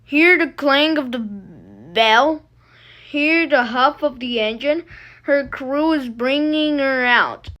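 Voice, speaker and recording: A woman reading a picture book aloud expressively, in deliberate, emphatic phrases with pauses between short lines. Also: high-pitched, fairly bright tone, dull audio. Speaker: storybook reader.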